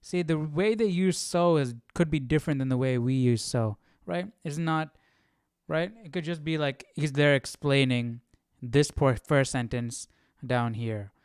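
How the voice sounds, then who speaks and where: A man's voice: a little high, a little slow, darkish tone, clean tone, no room sound, clean studio sound. A man hosting a livestreamed study, talking casually and questioning as he muses aloud to himself. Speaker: host of a Bible study livestream.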